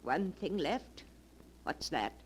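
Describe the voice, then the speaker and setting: A woman's voice: low-pitched, very animated, slow pace, exaggerated voice. A bewildered old maid in a 1940s radio drama. Her voice swoops widely as she falters in disbelief, with faint noise on the vintage recording. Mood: stunned disbelief. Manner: faltering, shocked, repeating words. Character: bewildered, disbelieving elderly spinster.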